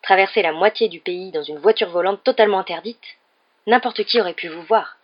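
A woman's voice: mid-range voice, fairly quick, husky, lo-fi sound, lightly acted. A woman voicing a short, plump, fierce mother who scolds and fusses over children in an audiobook story. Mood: stern. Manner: loud, emphatic, exclaiming scolding.

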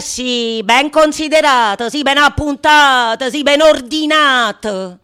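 Loud, high, exasperated stage player. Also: female voice. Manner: loud, indignant, emphatic declamation. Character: harried, exasperated stage player forced to give the prologue. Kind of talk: theatrical comic prologue, radio theatre.

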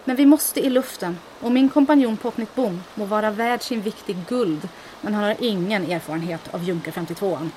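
An actress voices a daring woman pilot, confident and dryly humorous. Her voice sits mid-range, and a constant engine rumble sits under the lines. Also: a little mellow, natural voice, rough recording. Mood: cool. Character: daring woman pilot, speed-loving adventurer. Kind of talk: audio drama acting.

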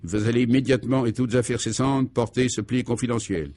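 A man's voice: medium pitch, fairly even intonation, a little fast, darkish tone, no echo, clean studio sound, close to an everyday voice. A man playing a bossy conspirator in a comic radio play, commanding, pompous and exacting as he gives absurd orders. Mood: commanding, secretive.

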